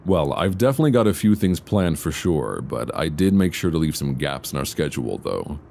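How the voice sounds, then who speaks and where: Man acting as very deep-voiced, affectionate boyfriend. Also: very animated, vocal fry, heavily acted. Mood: cheerful, attentive. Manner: soft teasing, unhurried, conversational with hesitant pauses. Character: affectionate, playful boyfriend on a luxury flight. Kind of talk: intimate ASMR boyfriend audio roleplay. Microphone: close binaural microphone. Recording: close binaural recording, faint background noise.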